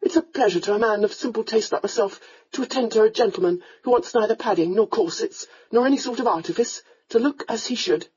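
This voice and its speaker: Polite, formal valet, played by the narrator: husky, mellow tone. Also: medium pitch, fast, muffled recording, pitched above the performer's own voice. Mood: deferential. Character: deferential male valet, a servant.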